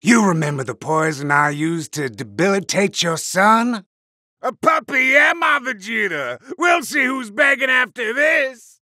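A male actor playing a vicious, cocky wolf-man poison fighter in a video game, taunting in a harsh, gravelly voice. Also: high-pitched, animated, measured pace, no room sound, clean studio sound, exaggerated voice. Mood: menacing taunts. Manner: cocky, sneering, shouted battle taunts. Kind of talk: video game battle voice lines.

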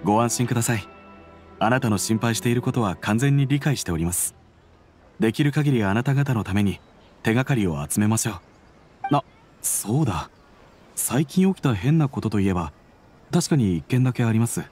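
A man playing an earnest detective agency employee in an anime game, speaking in a formal, deferential, measured way. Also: mid-range voice, expressive, a little mellow, natural voice. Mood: deferential, helpful. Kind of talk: Japanese anime game dialogue. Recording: faint music under the voice.

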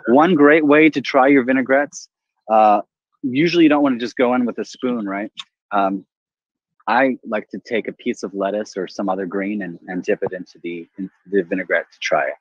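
Young chef explaining in a garden. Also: male voice, on the low side, normal speed, no room sound, highs cut off. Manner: easygoing explaining. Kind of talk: cooking demo. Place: outdoor community garden.

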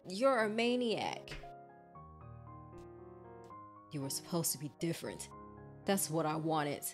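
Streamer girl doing scared heroine in a dating horror game, lowish voice, stammery, defiant, music behind. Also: very animated, normal speed. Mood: accusing.

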